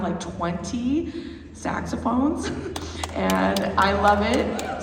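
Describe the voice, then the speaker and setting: Slightly hoarse woman band director greeting a concert crowd, chatty, in a reverberant pavilion with faint ambience. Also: medium pitch, a little hiss or hum. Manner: chatty, with many fillers and restarts. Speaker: high school band director. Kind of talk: concert introduction to an audience. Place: large performance pavilion. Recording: echoey hall, far from the mic.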